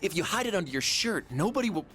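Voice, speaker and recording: Animated sheepish teenage slacker. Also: male voice, high-pitched, quick pace. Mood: pleading. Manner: rambling, sheepish, slangy. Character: goofy, hapless teenage schoolboy slacker, pleading with a friend. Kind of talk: casual video game dialogue. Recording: music under the voice.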